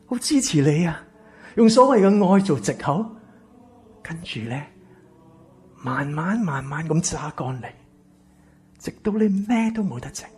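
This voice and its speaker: Guy acting a bitter wounded man in a hk cantonese radio play, really husky n breathy, swings up and down a lot. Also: fairly high pitch, very animated, unhurried, pauses often, a little mellow, full character voice. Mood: bitter, anguished. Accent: Hong Kong Cantonese. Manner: intense, dramatic. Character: embittered, wounded rich man, therapist, estranged from family. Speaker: actor in a radio drama. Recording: music behind the voice.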